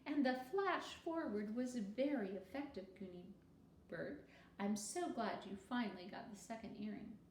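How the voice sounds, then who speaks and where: A woman voicing a kindly, thoughtful schoolteacher with a gentle, encouraging, patient manner. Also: mid-range voice, expressive, moderate pace, natural voice. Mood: pleased, praising. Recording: roomy echo, faint background noise.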